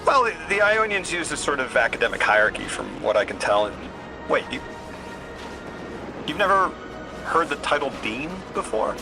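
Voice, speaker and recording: A man's voice: on the high side, very animated, rough recording. An earnest, flustered bookish man, music behind. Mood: earnest, explaining. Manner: halting, apologetic, repeats words when flustered. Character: earnest, flustered, bookish amnesiac man from Earth acting as translator. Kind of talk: naturalistic video game voice acting.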